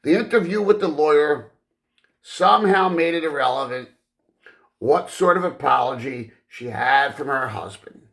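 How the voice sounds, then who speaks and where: A man reading literary fiction as an audiobook narrator, with deliberate, dramatic phrasing and frequent pauses. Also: fairly high pitch, expressive, creaky, fairly bright tone. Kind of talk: expressive scripted literary narration.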